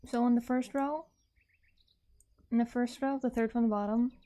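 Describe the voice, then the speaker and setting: Mellow, higher-pitched woman chatting on stream. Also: fairly even intonation, normal speed. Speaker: streamer, artist and ASMR creator. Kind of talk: casual livestream chat to viewers.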